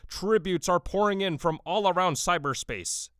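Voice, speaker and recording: A man's voice: sits high, normal speed, fairly bright tone, dry recording, studio quality. A man playing a pompous, formal internet news anchor in an audio comedy parody. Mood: pompous, solemn.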